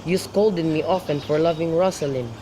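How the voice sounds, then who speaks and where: A man's voice: a little high, normal speed, mellow, close to an everyday voice. A man playing an earnest, impatient young lover in an amateur radio play, with a recited delivery. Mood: pleading. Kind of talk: student radio drama, recited modern Shakespeare. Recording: faint outdoor noise with birds.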